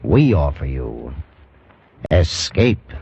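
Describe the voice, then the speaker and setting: A deep-voiced male announcer in 1950s radio style, portentous and very slow. His tone is dark, on a dull old recording. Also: very animated, vocal fry, slight background noise. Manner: portentous, drawn-out. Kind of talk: 1950s dramatic radio introduction.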